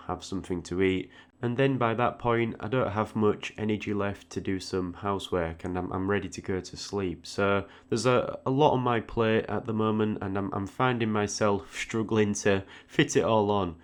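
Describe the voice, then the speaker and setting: A man's voice: fairly low pitch, fairly even intonation, moderate pace. A man giving an informal, reflective audio log, often repeating words hesitantly as he goes. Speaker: art tutorial creator, channel host.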